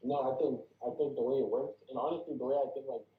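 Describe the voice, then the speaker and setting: A man's voice: medium pitch, fairly even intonation, fast talker. A man giving casual feedback in a group discussion over a video call. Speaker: participant giving script feedback. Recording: muffled, echoey video-call audio.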